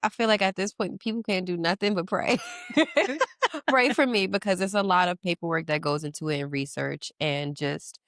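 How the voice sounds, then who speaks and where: A woman in her thirties speaking candidly as a podcast guest, animated and quick to laugh, with casual slang. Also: fairly low pitch, dry recording, studio quality. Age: 30s. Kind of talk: casual interview chat.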